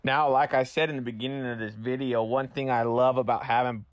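A man's voice: medium pitch, steady tone, brisk, dull audio, background noise. A man narrating a how-to build in a casual, explanatory way, speaking informally and straight to the viewer. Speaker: host, welder.

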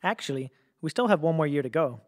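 A man's voice: a little high, lively intonation, quick pace, darkish tone, lightly acted. An actor playing a wry, nitpicking male radio station engineer in an audio drama. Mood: wry, correcting.

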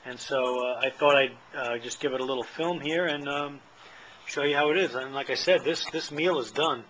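A middle-aged man with a bright, forceful voice talks through a camp-stove demo at an outdoor table. He rambles in plain words, full of "uh" and "um", recorded on a handheld camera with a faint hiss underneath. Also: mid-range voice, moderate pace, poor audio. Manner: rambling, plain-spoken, many fillers. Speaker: channel host. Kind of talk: casual product demo.

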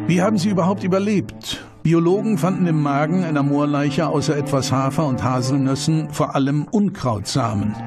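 A man reading scripted documentary narration with measured, dramatic phrasing and rhetorical questions. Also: a little high. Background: background music.

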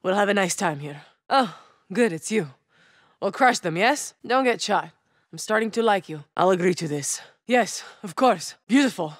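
A woman's voice: mid-range voice, animated, moderate pace, no room sound, lightly acted. A woman voicing a battle-hardened female soldier operator in a game, speaking in clipped military callouts with dry humor. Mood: calm. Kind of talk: game voice lines.

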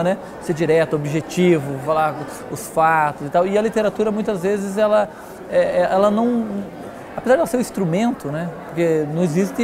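A man's voice: a little high, background noise. A man in his forties with a Brazilian accent, answering interview questions in an emphatic, conversational way with repetition and frequent tag questions. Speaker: interview guest, literary newspaper editor.

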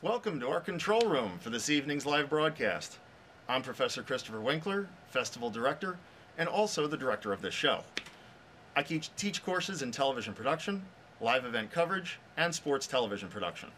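A man's voice: mid-range voice, big pitch swings, a little fast, a bit bright, a little hiss or hum. A middle-aged man, a professor presenting a scripted awards show, slightly muffled by a face mask. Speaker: professor, festival director and presenter. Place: TV control room.

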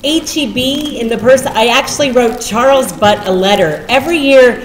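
A woman's voice: fairly high pitch, normal speed. A middle-aged woman giving informal remarks at a podium, chatty and often breaking off her own sentences. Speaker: nonprofit head and poet speaking at a podium. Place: large meeting hall with seated audience, indoors. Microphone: podium gooseneck microphone. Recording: echoey hall, audience murmur, faint noise.

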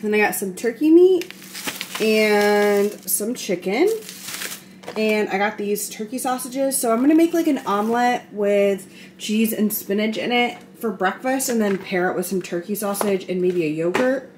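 A woman's voice: medium pitch, animated, moderate pace, darkish tone. A woman in her twenties with an American accent, chatting casually to viewers as she vlogs at home on a handheld camera. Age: 20s. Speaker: vlogger. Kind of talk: casual vlog chat to viewers. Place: indoors at home, kitchen and living room. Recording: clear household background noise.

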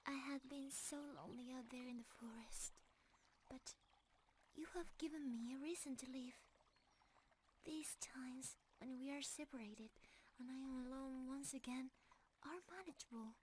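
Woman playing a loving deer-girl forest creature, ASMR roleplay, breathy and whispery, fairly high pitch, tender, faint nature ambience behind. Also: slight background noise, lightly acted. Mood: loving, soothing. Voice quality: soft, breathy, often whispering. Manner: calm, delicate, tender, unhurried. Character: gentle, loving, timeless female deer-centaur forest creature.

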